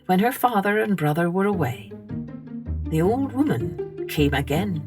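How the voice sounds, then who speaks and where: A woman narrating a scripted children's story in an expressive storytelling manner. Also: lowish voice, a little slow, music in the background. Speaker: storytelling podcast host and narrator.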